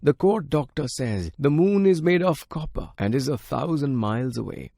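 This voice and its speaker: A man voicing a worried, quick-tempered king and doting father in a children's story, grave and pleading. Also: medium pitch, lively intonation, gravelly, warm tone, no room sound, clean studio sound, voice pushed up. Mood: despairing.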